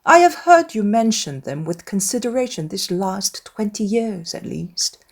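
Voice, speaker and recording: A woman voicing a wry, sarcastic middle-aged husband in a dramatised novel, unhurried and teasing. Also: mid-range voice, big pitch swings. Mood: sardonic. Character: dry, sarcastic middle-aged gentleman, long-suffering husband.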